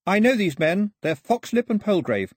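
A man's voice: high-pitched, sing-song, moderate pace, husky, no room sound, studio quality, voice pushed up, exaggerated voice. A man voicing an earnest, defiant young woman in an audiobook, urgent and articulate.

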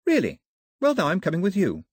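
A man's voice: high voice, wildly swooping pitch, fairly quick, breathy edge, no echo, clean studio sound, pitched above the performer's own voice, exaggerated voice. A man voicing a plucky, proper young girl, prim and forthright, in an audiobook story. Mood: insistent. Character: plucky, proper young English girl, sharp-tongued and questioning.

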